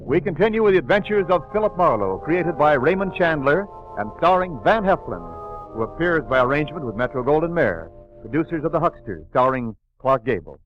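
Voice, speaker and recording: Male 1940s radio announcer, animated, fairly high voice, emphatic sales read, slightly muffled old recording. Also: a little fast, music in the background. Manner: emphatic, polished sales delivery.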